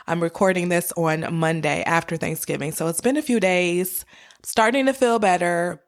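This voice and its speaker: Woman podcast host w a cold, bit raspy, lowish voice, chatty and encouraging, talks right to listeners. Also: moderate pace. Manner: encouraging, direct address to listeners. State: has a cold with cough and sore throat. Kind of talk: personal finance podcast monologue, conversational coaching.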